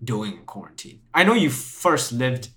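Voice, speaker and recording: A young man hosting a podcast, chatting loosely with a friend. He restarts sentences, repeats his words and laughs often. His voice sits at an ordinary middle pitch. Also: sing-song, moderate pace, a crowd in the background. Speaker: podcast host. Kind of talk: casual chat.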